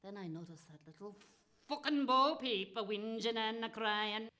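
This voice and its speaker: A woman's voice: fairly high pitch, normal speed, bright, forceful tone. A woman playing a spiteful, gleeful, foul-mouthed witch who tells comic fairy tales in a theatrical, cackling manner. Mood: gleeful, mischievous. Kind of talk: comic storytelling monologue.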